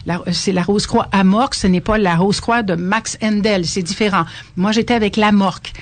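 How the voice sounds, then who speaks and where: A woman's voice: mid-range voice, fairly even intonation, brisk, no room sound. An older woman, a guest on a radio show, explaining her experiences earnestly. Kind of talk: radio interview. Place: radio studio.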